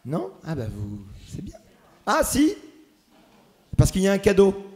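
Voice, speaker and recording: A man leading a church service, giving warm welcomes, announcements and prayers with a hesitant, pausing delivery. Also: sits high, wildly swooping pitch. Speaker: church leader welcoming the congregation.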